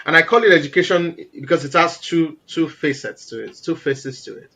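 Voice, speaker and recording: A man from Nigeria with a naturally loud voice, talking as an interview guest in an animated, emphatic way. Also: on the high side, a little fast. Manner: animated, emphatic, repeats words for stress. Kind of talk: casual interview conversation.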